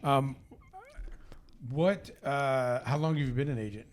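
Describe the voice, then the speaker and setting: A man's voice: medium pitch, a little slow. Friendly guy hosting a podcast, loose and upbeat, ums here and there. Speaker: podcast host. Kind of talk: casual interview chat. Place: studio with guest beside him.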